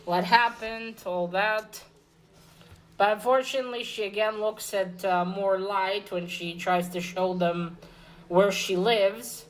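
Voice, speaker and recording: A woman's voice: mid-range voice, steady tone, takes it slowly, poor audio. A woman giving a casual, unscripted comic book review, rambling and hesitant, correcting herself as she goes, with blunt informal language.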